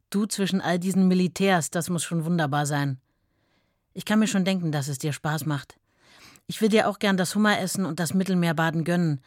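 An actress playing a wistful, affectionate wife reading her letter to her travelling husband in a radio play, in a gentle manner. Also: low voice, no echo, clean studio sound, close to an everyday voice.

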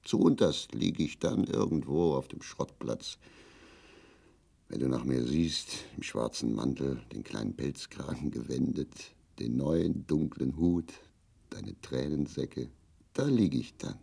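Drunk lonely old east german grandpa in a radio play, super low husky growl, grumbly. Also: male voice, takes it slowly, dark tone, full character voice. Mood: melancholy, self-pitying. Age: older. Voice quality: gravelly, husky. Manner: grumbling, declamatory, self-pitying. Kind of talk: grotesque radio drama dialogue.

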